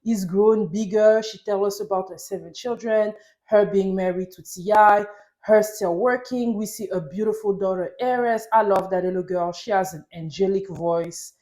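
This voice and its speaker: A woman's voice: mid-range voice, normal speed, mellow. Chatty Frenchwoman recapping reality TV. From Paris, France. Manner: chatty, enthusiastic fan talk. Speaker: YouTube host reviewing reality TV. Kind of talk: casual episode recap.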